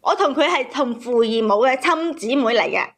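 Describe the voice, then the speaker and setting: High voiced woman phoning in w her personal story, plaintive n kinda aggrieved. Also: expressive, moderate pace, fairly bright tone. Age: 30s. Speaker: caller.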